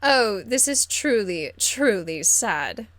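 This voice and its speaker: A woman's voice: medium pitch, sing-song, takes it slowly, fairly bright tone, no echo. Haughty noblewoman mage for a fantasy game played by a woman: formal, condescending, icily courteous, dry close recording. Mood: lofty amusement. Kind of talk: video game character acting.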